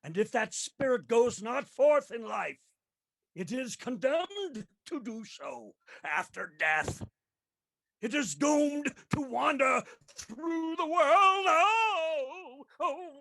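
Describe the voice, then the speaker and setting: An actor playing the tormented, remorseful ghost of a dead miser in a radio play, declaiming mournfully with moaning, drawn-out cries. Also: male voice, very high voice, sing-song, vocal fry, bright, forceful tone, heavily acted. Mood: doomed, wailing. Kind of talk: theatrical radio play acting.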